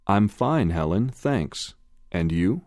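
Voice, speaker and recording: A man reading the lines of a polite speaker in a scripted English-lesson dialogue, careful and deliberate. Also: low-pitched, expressive, a little mellow, no room sound, studio quality. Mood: plain polite. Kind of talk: slow scripted textbook dialogue.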